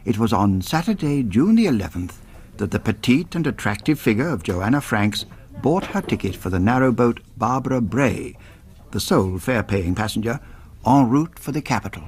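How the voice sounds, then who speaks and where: An actor playing a formal historian, narrating a radio drama in a measured, literary manner. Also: male voice, mid-range voice, expressive, a little hiss or hum, natural voice. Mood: measured, serious. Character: formal male historian narrating a Victorian murder.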